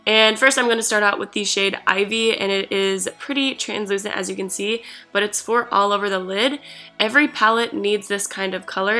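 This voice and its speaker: A woman with a stuffy nose from a cold, talking through a makeup tutorial in an excited, chatty way. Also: mid-range voice, reverberant. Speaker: beauty YouTuber.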